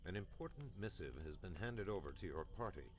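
An actor playing an ominous dungeon master, narrating a fantasy game in a portentous, deliberate way. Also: male voice, sits low, animated. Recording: loud music under the voice.

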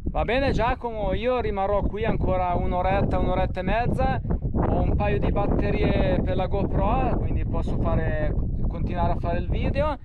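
A man with a high voice makes casual CB radio calls from an open mountain summit. The outdoor recording carries clear wind noise behind him. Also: normal speed, narrow, tinny audio. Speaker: hiking vlogger and radio hobbyist. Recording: windy, noisy outdoor camera recording.

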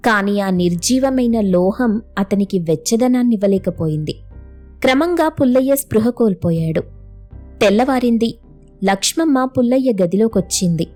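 A female storyteller narrates a scripted moral tale. Her voice is mellow and a touch high, with light music playing underneath. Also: expressive, moderate pace.

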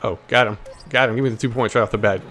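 A man commentates casually over a video game in a cocky, playful way, full of slang and trash talk. His voice sits at an ordinary pitch, with faint noise and a bouncing basketball behind him. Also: rapid-fire, fairly bright tone. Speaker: gaming video host.

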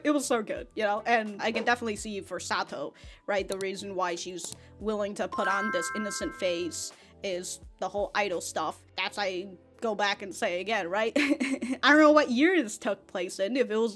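A woman's voice: mid-range voice, brisk, fairly bright tone, faint music behind. A young woman hosting an anime reaction video, rambling loosely and swearing often as she laughs between thoughts into a studio mic. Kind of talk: casual reaction commentary. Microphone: large studio mic in front.

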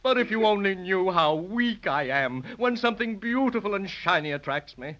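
High-voiced, whimpering silly dragon. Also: male voice, animated, moderate pace, a bit bright. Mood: contrite. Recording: muffled old 1930s radio recording.

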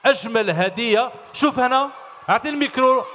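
A man's voice: very high voice, fairly quick, bright, forceful tone, slight background noise. A middle-aged man with an Algerian Arabic accent, hosting a children's stage show, cheerfully quizzing the kids. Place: large concert hall stage. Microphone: handheld mic. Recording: long hall reverb.

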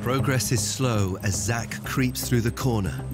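Male narrator, scripted documentary delivery, mid-pitched, strong music bed underneath. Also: a little slow.

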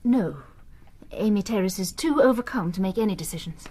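A bereaved, resolute stage actress in a radio drama. Her mid-range voice is husky, and she speaks with formal, earnest feeling. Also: mid-range voice, expressive, a little fast, mellow. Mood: grieving, imploring. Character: grieving, determined leading lady of the theatre.

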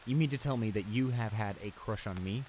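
A man's voice: fairly low pitch, fairly quick, lightly acted. A man playing a shy, flustered school bully in an audio roleplay, stammering and hesitant as he apologizes and gets embarrassed. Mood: disbelieving. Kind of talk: intimate improvised audio roleplay acting. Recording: rain noise behind the voice. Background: steady rain.

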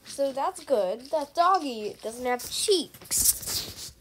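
A young woman with a stuffed-up, congested voice talks her way through a game, unhurried and drawn out. Her voice sits fairly high, and she fills her sentences with "like". Steady background noise hums under the recording. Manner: chatty, slow-paced, says "like" often. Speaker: gaming channel host. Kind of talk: casual gameplay commentary.

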